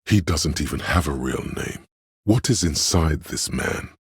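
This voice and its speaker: Very deep, gravelly, lofty inner muse. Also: male voice, animated, no echo, studio quality, heavily acted. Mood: disdainful. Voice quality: very deep gravelly husky bass. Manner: slow, deliberate, theatrical, pretentious art-critic delivery. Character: artistic inner voice of a detective's mind, lofty and critical. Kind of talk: dramatic game narration.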